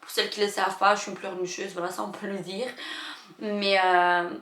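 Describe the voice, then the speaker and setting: A young woman vlogger, not a native French speaker, telling a personal story in an emotional, halting way with many fillers and restarts. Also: medium pitch, little pitch movement, a bit bright. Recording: echoey room.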